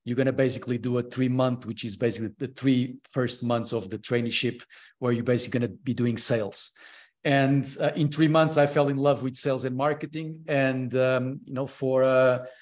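A man's voice: medium pitch, fairly even intonation, normal speed. A man in his early forties from Portugal, a podcast guest talking casually and hesitantly, with many fillers and restarts, over a video call. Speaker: podcast guest, consultant and mentor. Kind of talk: casual interview chat. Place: home room, on a video call.

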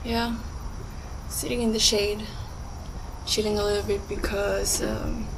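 A woman's voice: medium pitch, level tone, unhurried, vocal fry. A young adult woman talking casually to her handheld camera, thinking aloud in fragments as she explores. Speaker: urban explorer vlogger. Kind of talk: casual vlog commentary while exploring. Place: abandoned steel factory, indoors and out. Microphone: handheld action camera. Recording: noisy handheld action camera audio.

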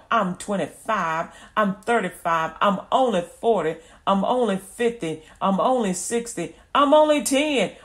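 A woman preaching an informal radio sermon, folksy and repetitive, acting out little scenes as she goes. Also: medium pitch.

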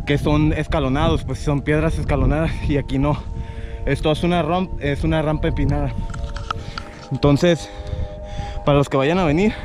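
A man in his thirties talking casually to camera as he climbs a trail, hesitantly searching for words. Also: on the high side. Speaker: vlogger. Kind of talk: casual hiking vlog to camera. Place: outdoors on an open hillside trail. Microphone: action camera held at arm's length. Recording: muffled outdoor mic, loud wind noise.

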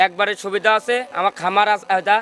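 A man's voice: sits high, flat delivery, fast talker, pushed and bright, a little hiss or hum. A man with a northwestern Bangladeshi accent from Pabna, a cattle seller talking casually in colloquial speech. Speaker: dairy farmer and cattle seller. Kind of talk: casual sales interview. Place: outdoors at a dairy farm.

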